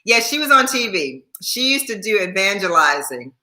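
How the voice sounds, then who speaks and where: A woman's voice: mid-range voice, sing-song, moderate pace, bright, forceful tone, echoey room, low-quality recording. A woman in her sixties chatting casually on a livestream, repeating herself and laughing often while thanking viewers by name. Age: 60s. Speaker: YouTube host.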